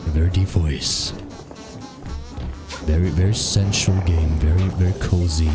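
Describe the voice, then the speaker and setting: A man doing an exaggerated deep, sensual streamer voice, dropped far below his usual register. It is low, smooth and cozy, and music plays underneath it. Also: fairly even intonation, measured pace. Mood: sultry. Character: deep-voiced sultry male streamer greeting viewers. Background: game music.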